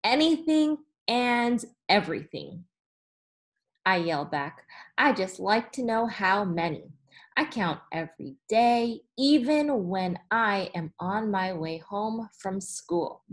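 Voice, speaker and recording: Female teacher, thirties, medium pitch, very unhurried children's read-aloud with careful, expressive emphasis. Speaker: elementary school teacher. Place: small indoor room with whiteboard.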